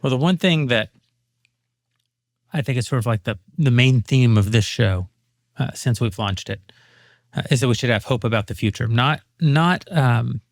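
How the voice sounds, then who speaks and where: A man hosting a casual panel talk show, speaking in an earnest, measured way. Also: mid-range voice, no room sound, clean studio sound. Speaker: host.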